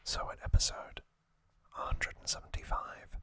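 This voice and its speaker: A man whispering an ASMR trivia recap in a breathy, unvoiced voice, gently and in short, drawn-out phrases. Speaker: ASMR host.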